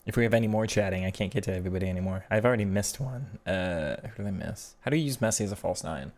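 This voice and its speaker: A man's voice: on the low side, racing, a little mellow. A man streaming a football video game, answering chat casually and thinking aloud with frequent restarts. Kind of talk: casual live-stream commentary reading chat.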